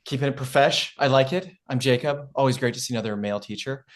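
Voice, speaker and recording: A man's voice: mid-range voice, quick pace, lightly acted. Chummy, overfriendly male teacher played by an actor. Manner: chummy, eager. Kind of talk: script table read.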